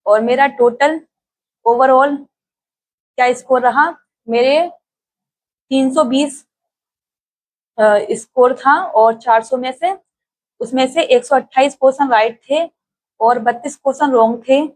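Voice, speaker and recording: A woman's voice: high-pitched, a little slow, pauses often, darkish tone, rough recording. A young woman from India talking casually to her viewers in a vlog, speaking steadily with frequent hesitations. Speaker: exam-prep YouTuber.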